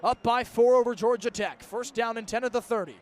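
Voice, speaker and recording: High-pitched young male football play-by-play announcer. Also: a little fast. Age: young adult. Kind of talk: live college football radio play-by-play commentary. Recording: outdoor stadium broadcast with background noise.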